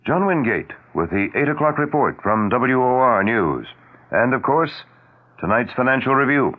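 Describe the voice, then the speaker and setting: Male American newscaster: lively intonation, clipped scripted read, narrow-band vintage radio. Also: fairly low pitch. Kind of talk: clipped headline news reading.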